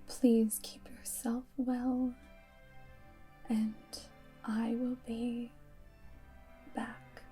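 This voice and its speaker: A woman playing an aloof, commanding vampire girlfriend and wartime spy in an ASMR roleplay, speaking softly and deliberately in a breathy, often whispered voice. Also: a little high, level tone, soft background music. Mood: fond, composed. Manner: slow, deliberate, hypnotic instructing.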